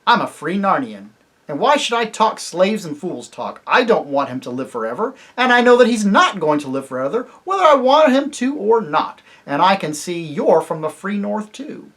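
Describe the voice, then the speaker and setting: A proud talking warhorse from a storybook, blunt and scornful, as a man puts him on. The voice is husky and swings widely in pitch, and the delivery is loud and emphatic. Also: normal speed, exaggerated voice. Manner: emphatic, lively storybook reading.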